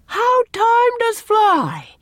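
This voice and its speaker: A woman's voice: very high-pitched, wildly swooping pitch, drawn-out words, no room sound, clean studio sound, pitched above the performer's own voice, heavily acted. A woman voicing a stern, opinionated older village matron in an audiobook, emphatic and scolding with old-fashioned country phrasing. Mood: musing. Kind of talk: audiobook character dialogue.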